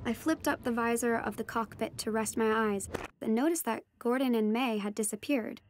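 A woman's voice: a little high, moderate pace, slight background noise, close to an everyday voice. An actress playing a reflective young daughter of a marine biologist, narrating her adventure in scripted audio fiction. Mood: reflective, hushed awe. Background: music and sound design under the voice.

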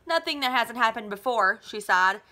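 A woman voicing a weary, gently apologetic mother of a teenager, soothing and resigned, in a novel read-aloud. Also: on the high side, expressive, moderate pace.

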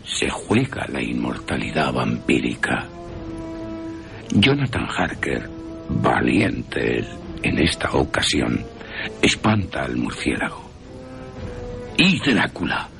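Deep, slow, suspenseful male storyteller. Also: sing-song, creaky, music in the background. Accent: Castilian Spanish. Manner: theatrical, suspenseful. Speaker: narrator. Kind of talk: dramatic radio horror storytelling.

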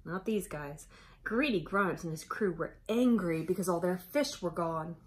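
A woman in her thirties reading a picture book aloud to children with expressive, exclamatory delivery and dramatic emphasis. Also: fairly low pitch, unhurried. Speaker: children's storyteller.

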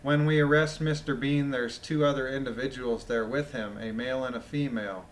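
A man with a phlegmy voice reading a document aloud with casual, incredulous, mocking commentary, often clearing his throat. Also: medium pitch, steady tone, fairly quick, a little hiss or hum, low-quality recording. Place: voice-over of a screen recording.

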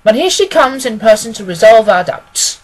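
A reader acts out a male detective from a classic storybook. He is brisk, authoritative and analytical, laying out his reasoning with precision. The voice has a slightly husky grain and lifts with emphasis. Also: mid-range voice, expressive, voice pushed up, close to an everyday voice, low-quality recording. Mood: assured, analytical. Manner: assured, precise, expository. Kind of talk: acted audiobook dialogue.

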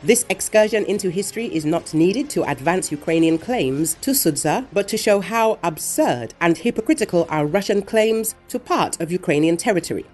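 A woman reading a scripted news report in a measured, even voice, relaying quotes from others. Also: fairly low pitch. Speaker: news narrator. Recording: voice-over with faint music underneath.

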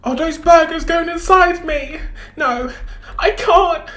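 A woman's voice: very high voice, slow pace, a bit bright, heavily acted. A woman playing a terrified captive daughter, pleading and wailing in a melodramatic roleplay. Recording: echoey room.

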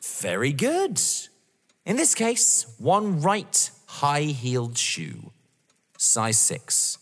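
Actor as taunting young avenger, swooping delivery. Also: male voice, fairly high pitch, huge pitch swings, extremely slow, fairly bright tone, heavily acted. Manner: mocking, theatrical, toying. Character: taunting, vengeful young man running a sadistic game. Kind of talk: audio drama acting. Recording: long reverb.

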